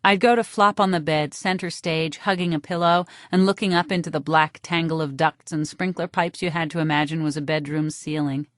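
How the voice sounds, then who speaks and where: A woman's voice: deep voice, steady tone, brisk, hardly any pauses, dry recording, clean studio sound. A woman reading a first-person novel as an audiobook narrator, in a dramatic scripted style.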